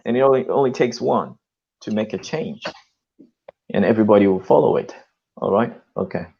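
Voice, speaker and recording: A man's voice: lowish voice, a little fast, vocal fry, highs cut off, slight background noise. A man hosting his own channel, talking in an emphatic, lecturing way, often tagging lines with "okay" and "you know.". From lives in Australia. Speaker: channel host. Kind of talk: live-stream call discussion.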